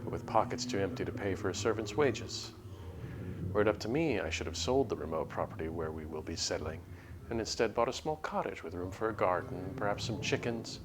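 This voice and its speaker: A man livestreaming a game, chatting casually and reading story text aloud in a measured, literary way. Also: low voice, expressive, noisy background. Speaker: game streamer. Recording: background music under the voice. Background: music.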